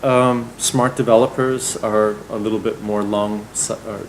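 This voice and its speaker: A man's voice: on the low side, flat delivery, normal speed, a little hiss or hum, low-quality recording. A male architect answering audience questions at a public lecture, thoughtful and hedging with fillers. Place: lecture hall.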